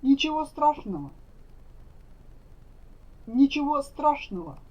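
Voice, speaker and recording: A woman teaching Russian, saying each phrase slowly and carefully so learners can repeat it. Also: high-pitched, very animated, mellow. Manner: careful, deliberate enunciation. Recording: dull lo-fi recording.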